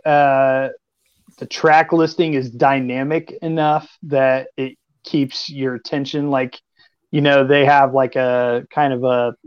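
A man's voice: medium pitch, level tone, slow pace, clear, resonant tone, dry recording, dull audio. A man co-hosting a casual music podcast, rambling and hesitant with many fillers. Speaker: podcast co-host.

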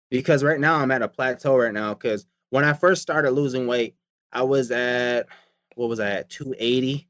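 A man's voice: mid-range voice, fairly bright tone, no echo, clean studio sound. Rambling, cursing man in casual chat. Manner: rambling, profane, repeats himself.

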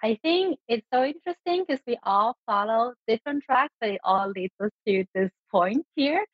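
A woman's voice: on the high side, lively intonation, normal speed, dry recording, highs cut off. Thoughtful woman from China, dry close sound. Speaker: panel guest, product design lead. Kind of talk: reflective panel talk.

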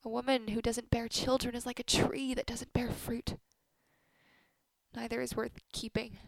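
A woman's voice: on the high side, little pitch movement, normal speed, creaky, dry recording. A woman playing a blunt, sardonic middle-aged wife with a bitter tongue in a radio play. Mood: bitter, wry.

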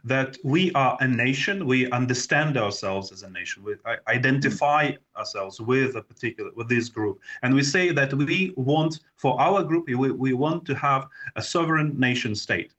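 A man from Ukraine, a discussion guest, explaining ideas in a deliberate way with some fillers. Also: medium pitch, fairly bright tone, muffled recording. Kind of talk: conversational discussion.

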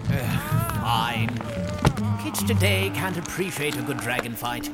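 An actor playing an eccentric, callous wizard mentor in an audio drama, talking in a theatrical, pompous way. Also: male voice, medium pitch, expressive, takes it slowly. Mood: smug. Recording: heavy music score behind.

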